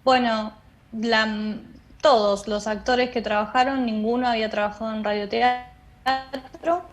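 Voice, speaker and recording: A woman's voice: a little high, steady tone, a little slow. A woman with an Argentine accent explaining things as a guest in an interview. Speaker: interview guest, theatre director and drama teacher.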